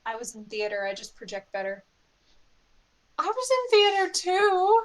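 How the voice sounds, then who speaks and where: A woman's voice: very high voice, sing-song, normal speed, a little hiss or hum, low-quality recording. A woman player chatting casually and playfully with the group about her microphone. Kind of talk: casual chat between players.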